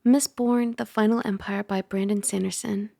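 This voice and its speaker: A woman playing a caring, teasing young dragon girl roommate in a soft-spoken ASMR roleplay, gentle and conversational on a close mic. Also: medium pitch, fairly even intonation, moderate pace, gravelly. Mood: dramatic reading. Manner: gentle, playful, conversational, with sighs and hushed asides. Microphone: close microphone.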